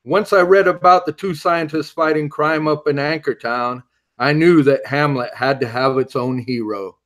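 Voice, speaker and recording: A man's voice: fairly high pitch, normal speed, a bit bright, lightly acted. A man playing a wry, secretive superhero. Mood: guarded. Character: secretive retired male costumed superhero, dry-witted. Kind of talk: audio drama acting.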